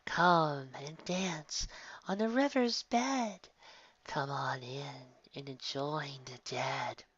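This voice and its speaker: A narrator voicing eerie river-dwelling sea-pony creatures in a slow, haunting chant. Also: medium pitch, fairly bright tone. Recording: dull lo-fi recording.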